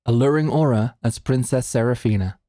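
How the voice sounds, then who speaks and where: A man's voice: medium pitch, brisk, mellow, dry recording. A man reading the closing credits and support notes as the show's producer. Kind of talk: scripted outro announcement. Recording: dry with faint music behind.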